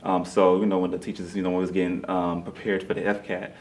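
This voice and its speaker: A man from Miami with an extremely low voice speaks as an interview guest. He answers conversationally and politely, with a modest air, over some background noise. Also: level tone, fairly quick, darkish tone. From Miami, Florida, United States.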